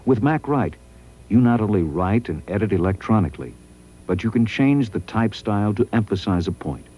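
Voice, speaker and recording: A man reading scripted promotional training narration in a measured, instructional way. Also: fairly low pitch, animated, warm tone, breathy edge, rough recording.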